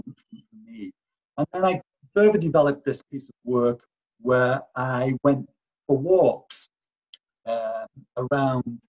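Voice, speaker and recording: Hesitant man, husky and muffled. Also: medium pitch, darkish tone. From Wales. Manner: hesitant, many restarts and fillers. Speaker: artist. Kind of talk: artist talk over slides. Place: voice-over for a slide presentation.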